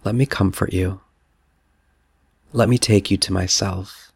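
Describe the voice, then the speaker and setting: Man speaking as a loving fatherly god, low and gravelly, flat slow gentle repeats, dry close sound. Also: no echo, exaggerated voice. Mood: tender. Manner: gentle, repetitive affirmations. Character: God the Father, tender loving male spirit.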